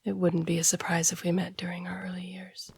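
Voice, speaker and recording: A woman playing a devoted, courteous masked assassin and royal guard in an audio roleplay, speaking in hushed, breathy, formal tones. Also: fairly low pitch, completely flat delivery, brisk, a little hiss or hum. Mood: guarded, tender. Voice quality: breathy, husky. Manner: formal, deferential, hushed speech. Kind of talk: intimate audio roleplay acting.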